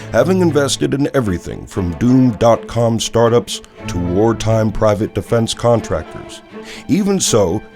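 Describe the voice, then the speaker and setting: An actor playing a serious, polished television newsmagazine anchor, narrating with measured, dramatic emphasis. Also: male voice, fairly low pitch, a little mellow. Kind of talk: scripted broadcast news narration.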